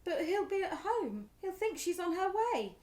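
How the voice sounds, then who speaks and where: A British actress playing an emotional, halting, grief-stricken middle-aged mother in a radio play. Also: very high voice, very animated, darkish tone. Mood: shocked, numb. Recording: echoey room.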